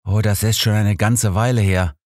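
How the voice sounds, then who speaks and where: A man's voice: fairly low pitch, steady tone, normal speed, a little mellow, dry recording, studio quality, voice pushed up, close to an everyday voice. A man voicing a sheepish male penitent, hesitant and apologetic, in an audiobook. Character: sheepish male sinner confessing, smitten with neighbour. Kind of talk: audiobook character dialogue.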